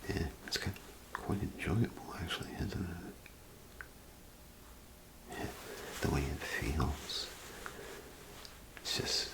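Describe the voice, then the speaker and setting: A man doing an ASMR role play as a clothing salesman, with gentle, hesitant, unhurried patter. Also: low-pitched, vocal fry, poor audio.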